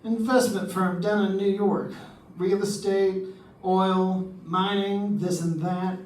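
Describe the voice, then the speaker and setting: A man's voice: high voice, flat delivery, slow pace, darkish tone. A man playing a worried, suspicious insurance man in an old-time radio drama, with hesitant phrasing. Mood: urgent, suspicious. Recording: roomy, distant mic, faint background noise.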